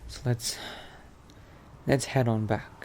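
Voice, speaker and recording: A man's voice: mid-range voice, fairly even intonation, slow, a little mellow, a little hiss or hum. A man playing a cautious vampire adventurer in an improvised game roleplay, musing hesitantly to himself. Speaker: roleplay let's-play player. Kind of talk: in-character improvised roleplay monologue.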